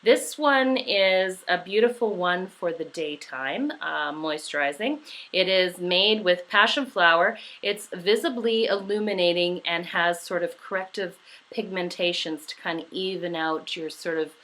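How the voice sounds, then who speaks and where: A middle-aged woman from Western Canada chatting warmly about skincare products, gushing, with many fillers and restarts. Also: fairly low pitch, unhurried. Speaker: beauty vlogger. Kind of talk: casual product review.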